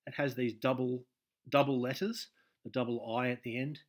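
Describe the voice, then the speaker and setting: This is a man hosting a game development tutorial at his desk, speaking at an ordinary mid pitch. He keeps stopping to restart sentences, fills the gaps with ums and lets his lines trail off quietly. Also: fairly even intonation, moderate pace. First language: English. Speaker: game dev tutorial host. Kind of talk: screen-share coding tutorial.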